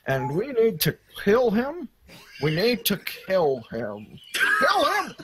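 A woman's voice: mid-range voice, wildly swooping pitch, slow pace, exaggerated voice. A woman voicing a blunt, menacing government agent in an improvised, giggly cartoon parody. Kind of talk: improvised comic cartoon fandub. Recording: loud background noise, clicks.

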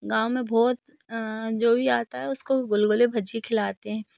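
A woman's voice: fairly high pitch, level tone, moderate pace, creaky, a little mellow, no echo. A woman, narrating a family vlog in a casual way. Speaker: vlogger.

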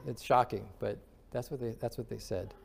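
A man's voice: mid-range voice, steady tone, normal speed, vocal fry. A man in his forties, a visiting minister preaching a sermon from a church pulpit, explaining earnestly with emphatic lists. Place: church sanctuary, at a wooden pulpit.

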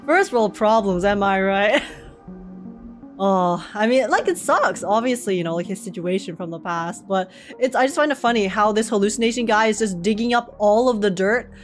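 A woman's voice: mid-range voice, animated, moderate pace, soft background music. A woman streamer giving casual, joking live commentary as she reacts to a video game. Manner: wry, joking.

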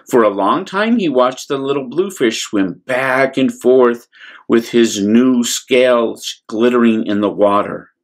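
A man telling a children's bedtime story in an expressive, deliberate way, with little asides to listeners. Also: medium pitch. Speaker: priest reading a storybook.

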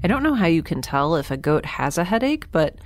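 A woman's voice: deep voice, very animated, fairly quick. An actress playing a lone young woman stranded in space who records a log, talking in a hesitant, wry way with dry asides. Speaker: narrator keeping a log. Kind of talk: audio drama monologue.

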